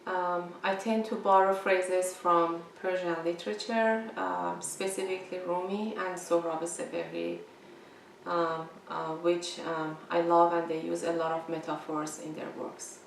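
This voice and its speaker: A woman artist whose first language is Farsi, talking about her drawings with many pauses and fillers. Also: on the low side, level tone, gravelly, roomy sound, distant mic, slight background noise, rough recording.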